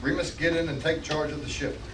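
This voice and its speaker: A man's voice: fairly high pitch, steady tone, fairly quick, lightly acted. A man playing a threatening, commanding saboteur villain in space, barking short orders in an amateur script table read. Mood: urgent, pushy. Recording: roomy, far from mic, noisy room.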